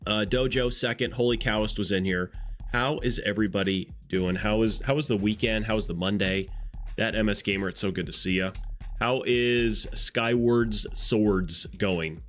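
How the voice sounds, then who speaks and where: Congested low-voiced man streaming live. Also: normal speed, fairly bright tone, soft background music. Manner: chatty, greets viewers by name, rambling asides. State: congested nose. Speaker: live streamer greeting chat. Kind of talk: casual live stream chat.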